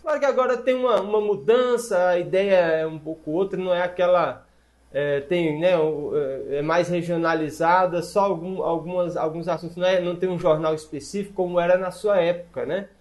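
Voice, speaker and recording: A man's voice: high-pitched, a little fast, darkish tone, highs cut off. A man hosting an interview, asking long, rambling questions dotted with "né". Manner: rambling, with many "né" and restarts. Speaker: interview host.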